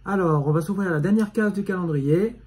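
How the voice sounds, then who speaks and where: A man's voice: high voice, brisk, darkish tone, slightly rough. A man in his fifties, a native Italian speaker, hosting a casual home vlog and filling his talk with "voilà", filmed on a phone at arm's length in a small room. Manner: chatty, fills with "voilà". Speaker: vlog host. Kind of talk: casual chat.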